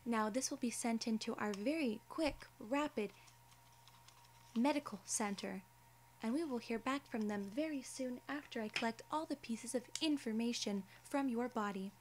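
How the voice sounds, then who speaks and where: A young woman playing a polite, clinical alien inspector in an ASMR role-play, soft-spoken, formal and soothing. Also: a little high, moderate pace, a little hiss or hum, natural voice. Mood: polite, gentle. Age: young adult. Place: small indoor room, seated.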